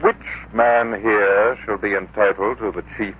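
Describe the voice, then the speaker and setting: A man's voice: lowish voice, fairly even intonation, a little slow, slightly rough. An actor playing a pompous, self-important man of standing in a theatrical 1940s radio drama style. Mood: smug, sly. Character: pompous self-important male farm overseer and host of guests. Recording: muffled, noisy 1940s radio recording.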